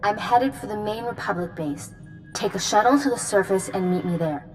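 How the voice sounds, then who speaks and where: An actress playing a grave, resolute Jedi commander in a video game, giving briefings in a serious, measured, authoritative manner. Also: female voice, mid-range voice, soft background music, close to an everyday voice. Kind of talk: video game cutscene acting.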